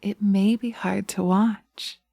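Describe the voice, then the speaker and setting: A woman playing seductive, taunting witches in a slow hypnosis roleplay, speaking in a hushed, dominant manner close to the microphone. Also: medium pitch, fairly even intonation, creaky, no room sound. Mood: sultry, hypnotic. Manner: sultry, slow, hushed, often dropping to a whisper. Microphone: close binaural microphone.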